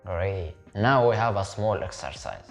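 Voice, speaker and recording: A man who teaches Arabic as a native speaker, leading a step-by-step beginner lesson in English and repeating each word slowly so the viewer can copy it. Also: sits low, lively intonation, faint music behind. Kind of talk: slow step-by-step language lesson.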